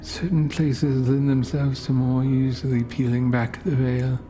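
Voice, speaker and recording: A man voicing a wealthy, menacing old cult leader in a horror story, formal and silkily courteous, with deliberate pauses. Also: mid-range voice, level tone, dark tone, a little hiss or hum, voice pushed up, lightly acted. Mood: calm command. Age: older. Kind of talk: horror audio story character.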